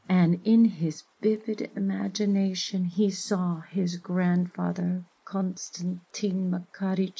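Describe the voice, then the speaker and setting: A woman reading literary fiction as a narrator, in an expressive, measured storytelling manner. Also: low voice, a little hiss or hum, poor audio.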